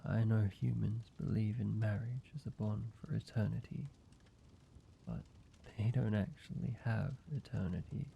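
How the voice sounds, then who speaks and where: A man playing a gentle, devoted male vampire lover in an intimate audio roleplay, speaking earnestly and haltingly to the listener. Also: lowish voice, steady tone, gravelly. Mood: tender, devoted. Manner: halting, hushed pleading, soft reassurance with pauses. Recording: dull sound, faint background noise.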